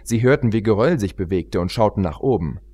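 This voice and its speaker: A man's voice: fairly low pitch, lively intonation, brisk, mellow. A man reading a story aloud as an audiobook narrator in a scripted narration style.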